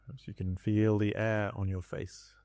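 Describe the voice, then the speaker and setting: A man leading a guided body scan meditation as a teacher, speaking in calm, gentle instructions with long pauses. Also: on the low side, no room sound.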